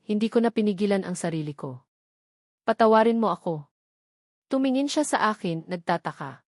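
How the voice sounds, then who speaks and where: A woman's voice: mid-range voice, darkish tone, no room sound, clean studio sound. A woman narrating a first-person romantic drama in an earnest, emotional storytelling manner.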